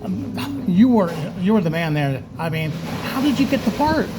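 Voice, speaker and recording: A man's voice: high-pitched, big pitch swings, moderate pace, noisy background. A man hosting an interview, effusive and stammering, often repeating himself. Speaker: interviewer, host. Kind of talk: casual interview. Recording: music playing behind.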